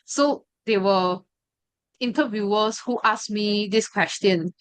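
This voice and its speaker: A woman's voice: mid-range voice, slow, clear, resonant tone, no echo. A young woman sharing a personal testimony, hesitant and full of fillers and restarts. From Malaysia. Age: 20s. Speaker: study group member sharing her story.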